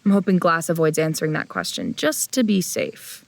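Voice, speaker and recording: A woman's voice: on the low side, sing-song, moderate pace, gravelly, no room sound. A woman playing a wary, grumpy young traveler who narrates an audio drama in a blunt, wry way. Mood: wary, descriptive. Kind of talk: first-person audio drama narration and dialogue. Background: music.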